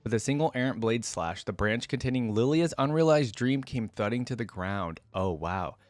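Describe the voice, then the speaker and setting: A man's voice: mid-range voice, lively intonation, normal speed, no room sound, faint music behind. A man hosting a reaction channel, talking casually and reading aloud as he comments. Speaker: host of a game lore reaction channel.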